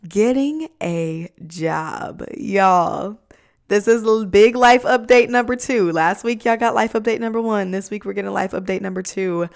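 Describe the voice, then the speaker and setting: A woman's voice: lowish voice, clear, resonant tone. A young woman in her twenties hosting a podcast in casual chat, nasal and congested from a cold, talking close into a desk mic at home. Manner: chatty, lots of fillers and "like". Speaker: podcast host. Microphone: USB desk microphone up close.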